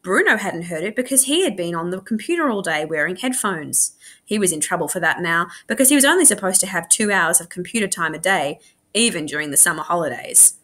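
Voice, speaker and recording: A woman reads a story aloud with lively, swooping intonation. She sounds like a school librarian sharing a book, animated and expressive in her delivery. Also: lowish voice, a little fast. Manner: animated, acts out dialogue.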